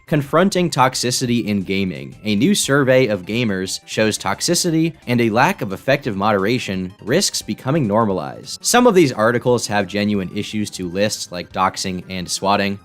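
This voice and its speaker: A man in scripted documentary narration, a YouTube commentator with a blunt, profane, sardonic way of talking. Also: fairly low pitch.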